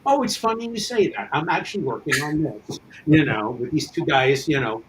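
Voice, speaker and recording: A man's voice: fairly high pitch, expressive, normal speed, background noise. A man speaking as a guest in a casual promotional interview, talking in a rambling, conversational way about his creative work. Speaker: guest, writer-producer of an audio drama.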